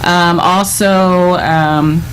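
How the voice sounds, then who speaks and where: Low-voiced woman in her forties. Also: fairly even intonation, very slow, background noise. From Chicago, USA. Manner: thoughtful, earnest, with frequent ums. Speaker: guest, physician. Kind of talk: conversational interview answers.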